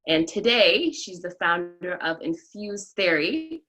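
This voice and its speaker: Woman host, slightly low pitch, upbeat interview tone. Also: sing-song, takes it slowly, sharp tone. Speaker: podcast host. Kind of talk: interview with a scripted guest introduction.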